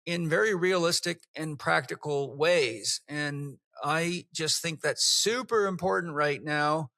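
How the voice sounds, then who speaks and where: An effusive male podcast host with a bright, somewhat high voice. He speaks close to the mic in a dry, dead-sounding space. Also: a little slow, dry recording. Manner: enthusiastic, effusive. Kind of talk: podcast interview.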